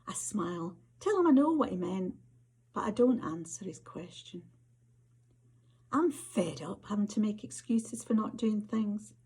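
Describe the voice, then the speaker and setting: A woman with a Scottish accent reading short stories aloud as an author, her voice husky. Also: medium pitch, expressive, a little slow, mellow. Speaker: author reading their fiction.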